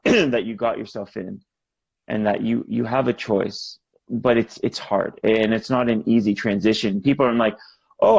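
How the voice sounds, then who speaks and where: A man hosting an interview podcast, chatting casually with plenty of fillers and quick affirmations. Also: on the low side, creaky, darkish tone, husky, muffled recording. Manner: conversational, loose, with many fillers and quick affirmations. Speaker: podcast host.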